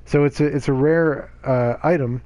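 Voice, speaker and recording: A man streaming a game, voice a little raspy from reading aloud the day before, chatting casually with lots of "you know"s and "uh"s. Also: medium pitch, fairly even intonation, normal speed, dark tone, no room sound. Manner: chatty and self-justifying, lots of fillers. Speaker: gaming streamer. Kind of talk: casual gameplay commentary.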